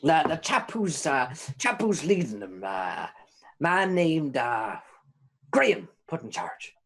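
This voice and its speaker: A man's voice: on the high side, big pitch swings, takes it slowly, vocal fry, fairly bright tone, heavily acted. A man voicing a chatty, cheeky imprisoned informant who rambles and stammers in old-timey folksy phrasing. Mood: rambling.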